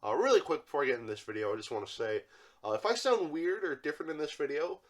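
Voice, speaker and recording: A man's voice: fairly high pitch, animated, quick pace. A young man with a congested, stuffy voice from allergies, casually reviewing an action figure and rambling with lots of fillers. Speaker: toy reviewer, channel host.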